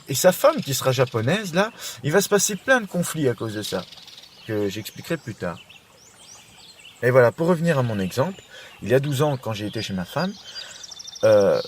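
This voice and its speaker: A man's voice: on the high side, slight background noise. A man giving an informal self-help monologue, chatting to the listener and repeating his phrases. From Belgium. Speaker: podcast host.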